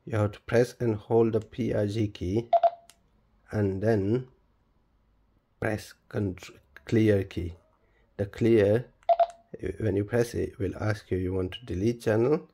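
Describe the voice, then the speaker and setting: A man presenting a step-by-step tutorial in a plain, halting, instructional manner. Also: on the low side, fairly even intonation, mellow. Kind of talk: step-by-step spoken tutorial, unscripted.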